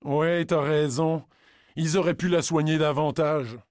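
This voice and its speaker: A man's voice: fairly high pitch, steady tone, unhurried, a little mellow, no room sound, studio quality, close to an everyday voice. A man playing an enthusiastic moviegoer in a casual scripted chat with a friend. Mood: agreeable.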